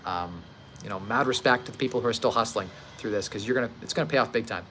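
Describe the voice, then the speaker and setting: A man's voice: lowish voice, quick pace. A thirty-year-old man hosting a casual livestream about investing, speaking informally, emphatically and confidently. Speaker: livestream host, real estate investor. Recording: clear steady background ambient noise.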